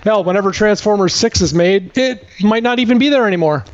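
A man's voice: high-pitched, a little fast, muffled recording. A man giving a comedic movie plot recap as a podcast host, with sarcastic, exasperated storytelling.

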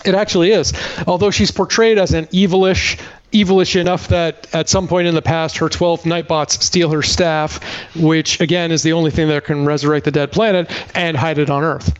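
Male podcast reviewer, high register, sardonic and emphatic comic recapping, slightly muffled recording. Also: fairly even intonation, normal speed, clean tone. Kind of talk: comic movie recap, dramatic reading.

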